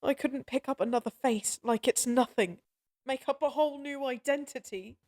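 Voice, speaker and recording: A woman playing a lonely, grieving goddess in an audio drama, speaking haltingly and with raw, pleading emotion. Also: high voice, darkish tone, natural voice. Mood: grieving, pained. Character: sorrowful, lonely forsaken goddess, grieving and guilt-ridden. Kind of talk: scripted audio roleplay drama acting.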